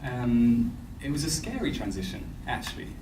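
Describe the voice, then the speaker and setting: A man's voice: mid-range voice, sing-song, normal speed. A man in his thirties on an alumni panel, thoughtfully answering a question. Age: 30s. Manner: reflective, thoughtful. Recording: roomy hall, distant mic, background noise.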